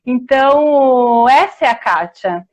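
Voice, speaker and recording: A middle-aged woman from Rio Grande do Sul with a southern Brazilian accent, telling her story in a warm, chatty way over a video call, full of restarts and tag questions. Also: high voice, expressive, takes it slowly, dull audio. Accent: southern Brazilian Portuguese, Rio Grande do Sul. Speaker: historian and author guest. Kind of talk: casual interview storytelling.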